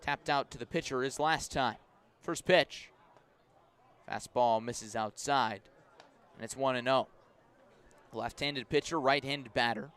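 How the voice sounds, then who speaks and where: A man calling a baseball game live on the radio, describing each pitch in measured phrases and lifting his voice for key plays. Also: fairly bright tone, clean tone. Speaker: baseball play-by-play announcer. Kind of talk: live radio sports commentary.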